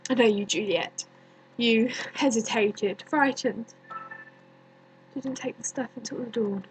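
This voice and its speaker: A woman performing a dramatic stage monologue as a bitter, grieving young woman, deliberate and accusing, with many pauses. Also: on the high side, a little hiss or hum, poor audio. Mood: sorrowful, haunted. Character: bitter grieving young woman haunted by her dead cousin.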